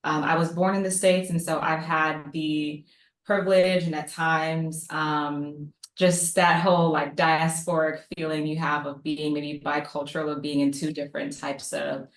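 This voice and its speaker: Low-voiced chatty woman in her thirties. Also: little pitch movement, measured pace, reverberant, low-quality recording. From Maryland. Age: 30s. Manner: warm, chatty, uses "like" often. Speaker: guest psychologist. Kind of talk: casual panel talk. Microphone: video call.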